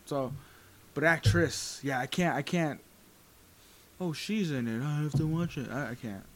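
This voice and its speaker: A man's voice: fairly high pitch, unhurried. Rambling, swearing young guy. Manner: rambling, hesitant, swears often. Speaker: podcast co-host. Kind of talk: casual chat.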